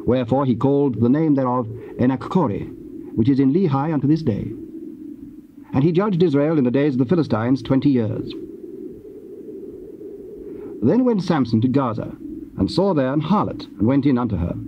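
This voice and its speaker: Male narrator, medium pitch, solemn measured scripture reading, dull noisy cassette. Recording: muffled, noisy old cassette transfer.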